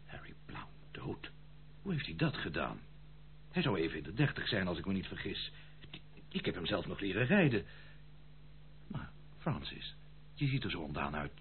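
An actor playing a charming, spendthrift prodigal son in an old Dutch radio play, pleading in a theatrical, hesitant way. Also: male voice, deep voice, very animated, normal speed, pauses often. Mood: concerned. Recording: muffled phone-like old radio recording, hum.

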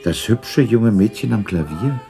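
An actor playing an old miser in a radio play, shaken and timid, with hesitant, earnest speech. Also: male voice, on the low side, animated, a little slow, creaky, mellow, faint music behind. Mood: timid, wondering. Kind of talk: 1960s German radio play acting.

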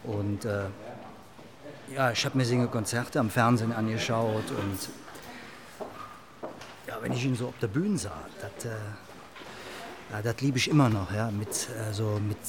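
A man recalling things casually, groping for words in short, halting fragments. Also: on the low side, steady tone, a crowd in the background. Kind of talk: casual spoken recollection.

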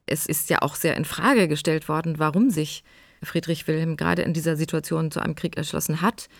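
A woman speaks as a history expert, explaining in an academic manner. Her voice is fairly low with noticeable creak, and the recording is dry. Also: fairly even intonation, brisk, no room sound.

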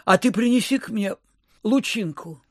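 An actor playing a miserly, suspicious old landowner in an audio drama, scolding, grumbling and muttering. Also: male voice, high voice, normal speed, no room sound, clean studio sound. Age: older.

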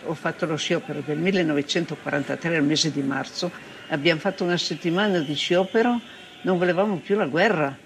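A woman's voice: sits low, fairly quick. An older woman from Italy recalling her working life in a plain-spoken, emphatic way, as oral history. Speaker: former factory worker giving testimony. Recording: faint background noise.

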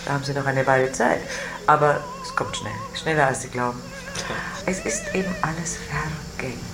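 A woman's voice: very deep voice, wildly swooping pitch, brisk, over music, low-quality recording. An older woman talking candidly in an interview, emphatic, setting down short weighted phrases one by one. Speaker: interviewee, retired performer.

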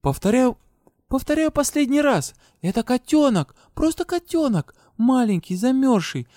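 A man's voice: very high-pitched, sing-song, normal speed, warm tone, no room sound, studio quality, voice pushed up. A man doing a stubborn young student girl. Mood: defiant. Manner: pleading, insistent.

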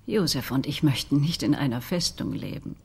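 Husky, composed politician's wife. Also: female voice, very deep voice, lively intonation, normal speed, a little mellow, heavily acted. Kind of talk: radio crime drama dialogue.

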